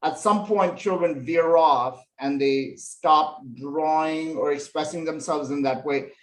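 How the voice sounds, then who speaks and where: A man's voice: on the high side, a little slow, poor audio. A man from Nepal, a fashion illustration professor, telling stories from his life as an interview guest, with lots of fillers. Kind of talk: relaxed storytelling interview answers.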